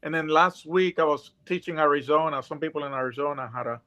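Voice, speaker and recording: A man's voice: on the high side, quick pace, pushed and bright. A middle-aged man with a Puerto Rican accent, a guest talking earnestly and rambling a little with restarts, heard over a video call. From Puerto Rico. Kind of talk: casual interview talk. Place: dim room at home. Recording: dull lo-fi video call audio.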